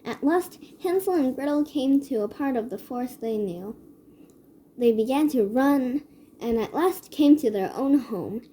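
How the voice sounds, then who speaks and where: A woman narrating a children's story from a script in a measured read-aloud manner. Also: high-pitched, lively intonation, warm tone, slight background noise, rough recording.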